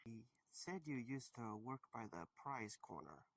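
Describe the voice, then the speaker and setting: A man playing a tired, wry, kindly investigator in an audio drama, with a gravelly voice and a casual, dry manner. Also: mid-range voice, big pitch swings, unhurried, slight background noise. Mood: casual, tentative.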